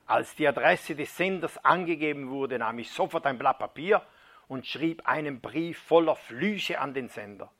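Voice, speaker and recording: Bright-toned man reading a testimony dramatically. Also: fairly high pitch, animated, normal speed. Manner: dramatic reading, dropping to a hushed near-whisper at key moments. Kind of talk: sermon retelling a first-person testimony.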